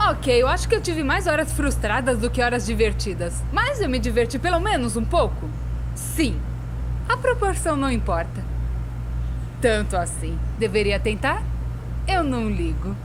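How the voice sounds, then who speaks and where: A woman's voice: fairly high pitch, very animated, moderate pace, noisy background. A young woman with a Brazilian accent voicing a playful, self-mocking cartoon vlogger in a scripted fan-dub narration. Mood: wry, animated. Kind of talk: scripted first-person narration, animated storytime fan dub.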